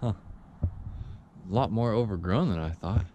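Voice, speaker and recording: Guy from texas vlogging his trip, low voice, very lively, music playing under it. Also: moderate pace, muffled recording, slight background noise. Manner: excited, exploring on the move. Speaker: travel vlogger. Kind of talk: casual travel vlog. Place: old concrete fort battery.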